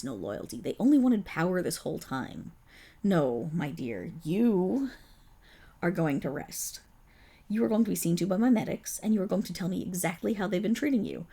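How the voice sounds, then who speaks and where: A woman playing a silky, gravelly villain boss. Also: medium pitch, warm tone, heavily acted. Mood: menacing tenderness. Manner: silky, condescending, purring endearments. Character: suave, scheming villain boss, possessive and protective. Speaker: voice actor. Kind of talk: ASMR roleplay audio drama.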